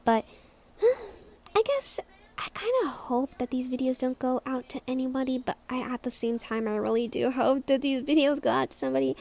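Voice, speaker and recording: A young woman with a squeaky voice rambling in a casual vlog, hesitant and self-conscious, full of restarts and trailing words. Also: lively intonation, normal speed, darkish tone. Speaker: vlogger.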